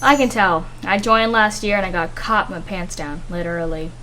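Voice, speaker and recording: A woman's voice: mid-range voice, normal speed, pushed and bright, slight background noise, lightly acted, rough recording. A woman playing a smug, scheming male investor in a radio drama, speaking in a dry, self-assured, formal manner. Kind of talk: 1950s radio drama acting.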